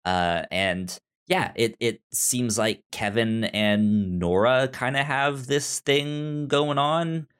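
Slow-paced man with a stutter. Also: medium pitch, steady tone, a bit bright, clear, resonant tone. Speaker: podcast host. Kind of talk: casual TV discussion chat.

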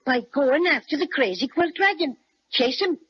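Casting: little kindly talking bear, high voice, vintage children's radio drama, dull recording. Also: lively intonation, moderate pace. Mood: helpful, boastful. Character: small gruff, kindly talking toy bear. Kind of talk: old-time children's radio serial acting.

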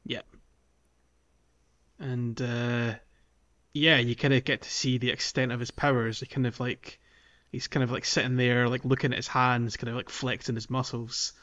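A man's voice: medium pitch, little pitch movement, moderate pace, fairly bright tone. Slightly muffled male podcast host, casual. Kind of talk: casual game discussion podcast.

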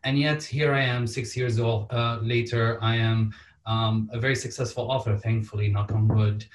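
A man from Syria speaks on a panel, earnest and with dry humor slipping in. His voice sits at an ordinary pitch. It comes across roomy, picked up from some distance. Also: level tone, moderate pace. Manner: earnest, wry asides. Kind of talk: panel discussion answer. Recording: roomy sound from off mic.

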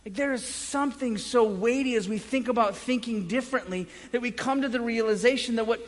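A man preaching a sermon as a pastor, speaking emphatically with dramatic pauses and swings between loud and hushed delivery. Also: very high-pitched. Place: large indoor room, preaching to a congregation. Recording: echoey hall with long reverb.

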